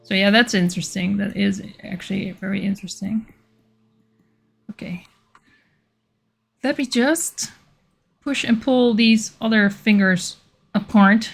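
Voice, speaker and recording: Slow-talking Dutch woman chatting on stream. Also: mid-range voice, steady tone, gravelly, slightly rough. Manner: chatty, reads chat aloud, frequent laughs and restarts. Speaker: live streamer. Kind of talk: casual livestream chat while working.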